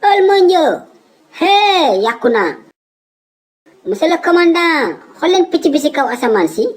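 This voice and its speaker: Squeaky Senegalese cartoon hustler man. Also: sing-song, unhurried, exaggerated voice. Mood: panicked. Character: cheeky swindling man, a father posing as an official. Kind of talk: comedy cartoon acting in street slang.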